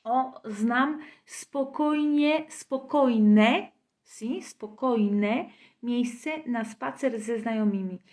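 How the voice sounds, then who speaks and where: A woman teaches a live online language class at an unhurried pace. Her voice sits a little high, and she keeps checking in with her students through short tag questions as she explains the grammar. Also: a little mellow. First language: Spanish.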